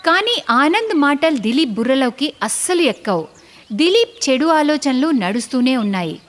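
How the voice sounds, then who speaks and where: A woman's voice: high-pitched, very animated, moderate pace. A woman narrating a scripted story in a dramatic storytelling style.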